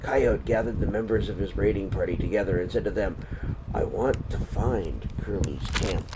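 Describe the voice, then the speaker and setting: A man reading a children's story aloud in a deliberate, expressive way, pausing between phrases. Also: lowish voice, heavy background noise.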